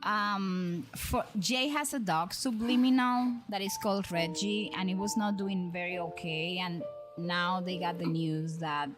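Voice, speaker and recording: A woman's voice: fairly low pitch, a little slow. A woman streamer with a Dominican accent chatting casually with viewers, rambling with frequent restarts and repeated words. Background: music.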